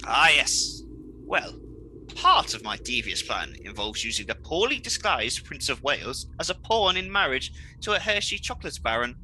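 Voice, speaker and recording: A melodramatic villain from a comedy radio play, pompous and mocking. His voice is very bright and sharp, swooping widely in pitch, with faint noise behind it. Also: big pitch swings, moderate pace, poor audio. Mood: smug. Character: gleeful, scheming melodramatic male supervillain, Mister Munge.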